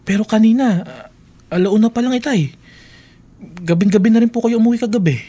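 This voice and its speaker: A man's voice: sits high, a little fast, dark tone, breathy edge. A man playing a dutiful eldest son who pleads earnestly with his father in an emotive radio drama. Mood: concerned.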